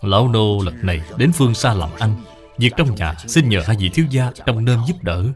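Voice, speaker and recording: An actor playing a loyal, humble elderly servant in a dubbed cartoon folk tale, speaking formally and earnestly. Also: male voice, lowish voice, animated, normal speed, darkish tone, faint music behind, close to an everyday voice. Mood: earnest, respectful. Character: loyal, humble, determined elderly male servant turned trader.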